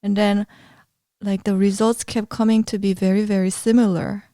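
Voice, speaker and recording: A woman's voice: medium pitch, little pitch movement, normal speed, dark tone, dry recording, clean studio sound. A woman co-hosting a podcast, chatting casually with brief supportive replies. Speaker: podcast co-host. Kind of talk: casual chat.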